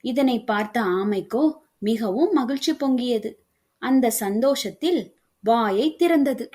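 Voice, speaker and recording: A woman's voice: a little high, expressive, unhurried, a little mellow. A woman reading scripted moral stories for children as a storybook narrator.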